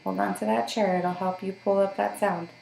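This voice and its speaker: A woman's voice: mid-range voice, fairly even intonation, moderate pace, creaky, a little mellow, reverberant, rough recording. A woman working as a voice therapist, giving patient step-by-step instructions for voice exercises and checking in often. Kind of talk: guided voice therapy session.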